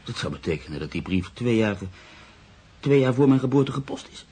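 A man playing a proud, brooding newspaper writer in a radio drama, with measured, literary delivery. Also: mid-range voice, sing-song, dark tone. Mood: startled. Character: proud, brooding male newspaper writer, sceptical and easily unsettled. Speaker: actor. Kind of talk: radio drama acting, monologue and narration. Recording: radio play with clear background noise.

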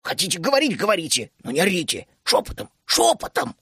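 Мужчина-чтец озвучивает ворчливого жилистого старого гнома, который сердито отчитывает собеседников. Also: male voice, high-pitched, huge pitch swings, brisk, fairly bright tone, no echo, clean studio sound, heavily acted. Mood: scolding. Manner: gruff, scolding. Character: grumpy wiry old male gnome.

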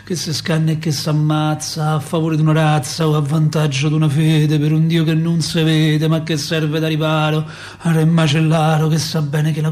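A male actor plays a Roman man telling his story in a radio drama. His voice sits fairly high, with a Roman accent and an intimate, colloquial delivery that is tender, bitter and dramatic. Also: darkish tone, natural voice. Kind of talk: theatrical radio monologue, reciting verse and memories.

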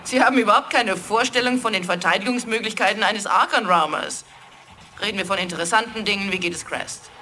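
Woman acting haughty female alien commander, condescending, sharp bright voice, dismissive delivery, 1980s sci-fi radio drama. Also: mid-range voice, fairly quick, slight background noise, close to an everyday voice. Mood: dismissive scorn.

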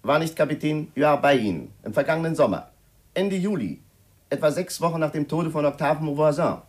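An actor in a 1950s German radio play as a curt, insistent police commissioner, probing and official, with a husky voice. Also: male voice, a little high, lively intonation, fairly quick. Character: insistent, businesslike male police commissioner questioning a suspect.

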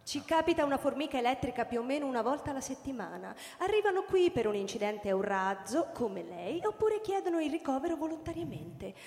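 Radio drama doctor: clinical, measured, higher register, delivering grim news in a big echoey space. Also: female voice, very animated, a little mellow. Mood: clinical, detached. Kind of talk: theatrical radio drama acting. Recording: echoey hall with long reverb.

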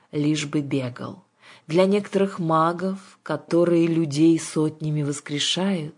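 Женщина играет властную и хитрую ведьму-гадалку из книги, говорит грубовато, театрально и таинственно. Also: female voice, low-pitched. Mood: sly, mysterious. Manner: brusque, mysterious, colloquial. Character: bossy, cunning witch fortune-teller woman, gruff and theatrical.

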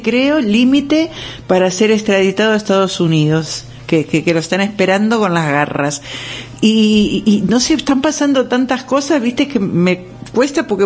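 A woman co-hosting a live radio show, talking casually in a halting way, repeating words as she gathers her thoughts. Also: mid-range voice, a little mellow. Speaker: radio co-host. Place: radio studio.

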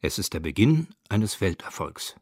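A man's voice: deep voice, big pitch swings, normal speed, noisy background. A man reading scripted narration for a radio documentary.

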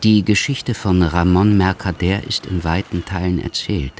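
An actor narrating a literary radio play in a sombre, measured, scripted manner. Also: male voice, deep voice, steady tone, slight background noise, close to an everyday voice.

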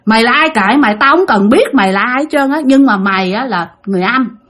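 A woman's voice: fairly high pitch, lively intonation, quick pace, fairly bright tone. A woman voicing an older male spirit master, insistent and firm as he reasons with and warns a spirit. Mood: stern warning. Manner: insistent, reasoning then sternly warning.

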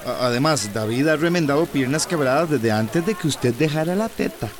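A man's voice: on the high side, animated, moderate pace, crowd noise, lightly acted. An actor playing a feisty, protective village man with a Central American accent in a radio drama, blunt and plain-spoken. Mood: defiant.

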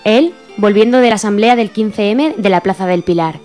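A woman's voice: mid-range voice, normal speed, warm tone, faint music behind. A woman with a Spain accent giving scripted narration for a radio drama. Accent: Spain Spanish.

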